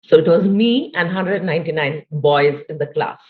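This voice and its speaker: A low-voiced Indian woman in her late forties talks thoughtfully as a podcast guest and often pauses with "you know". Her voice comes through a narrow, tinny phone-like line. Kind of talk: conversational interview.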